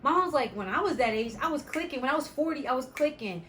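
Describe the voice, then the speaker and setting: Woman, thirties, vlog host, casual family chat, a little high-pitched. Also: lively intonation, fast talker, fairly bright tone, a little hiss or hum. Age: 30s. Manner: chatty, talks to viewers as y'all. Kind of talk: casual chat while eating.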